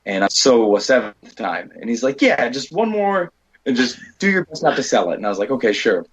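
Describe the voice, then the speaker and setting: A man's voice: medium pitch, a little fast, slightly rough, dull audio. An American man telling rambling anecdotes as an interview guest, casually quoting the people in his stories. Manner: rambling, animated retelling with quoted dialogue. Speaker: guest, indie horror filmmaker and stunt performer.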